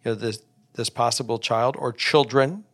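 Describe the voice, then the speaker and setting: A man co-hosting an advice podcast, talking casually with halting restarts and emphatic rises. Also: mid-range voice, steady tone, fairly bright tone. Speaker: podcast host giving advice.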